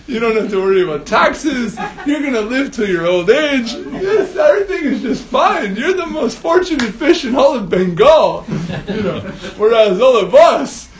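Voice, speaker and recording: A man voicing an excitable river fish in a told story, loud and high with laughing exclamations. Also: moderate pace, few pauses. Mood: amazed envy. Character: excitable chattering river fish, male, incredulous. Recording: echoey room.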